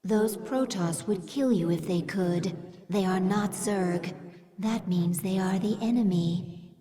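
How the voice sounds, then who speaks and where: A woman voicing a devoted alien servant creature in a game, measured, formal and reverent. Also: medium pitch, dark tone, lightly acted. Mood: reverent, fierce. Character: alien female servant creature, solemn, devoted to her queen. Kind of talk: dramatic game cutscene acting.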